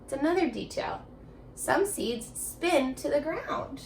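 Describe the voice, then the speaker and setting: High, animated woman teaching young children. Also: slow, a little hiss or hum. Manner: encouraging, questioning, speaking directly to young pupils. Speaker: elementary school teacher. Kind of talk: children's remote reading lesson, alternating slow read-aloud and chatty prompts.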